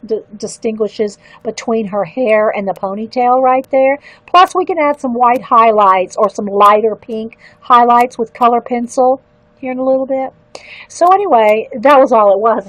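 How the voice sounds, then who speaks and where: An older woman with a loud, carrying voice chats to her viewers and keeps wandering off on side stories. Her voice sits a little high for a woman, and the sound is close and dry with no room around it. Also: moderate pace, no room sound, low-quality recording. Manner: rambling, chatty asides to viewers. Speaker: livestream artist. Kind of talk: casual chat while coloring.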